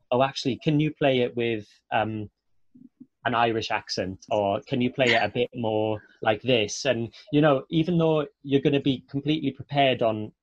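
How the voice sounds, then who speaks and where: A man's voice: mid-range voice, no room sound. Chatty Welsh man on a video call. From Wales. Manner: chatty, many fillers and restarts. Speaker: actor, guest speaker. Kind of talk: casual video-call interview. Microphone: earphone mic on a video call. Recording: dry, slightly dull video-call audio.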